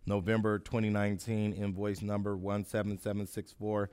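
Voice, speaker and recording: A man's voice: on the low side, little pitch movement, moderate pace, darkish tone, clear, resonant tone. A man chairing a public board meeting, hesitant with many fillers as he reads items.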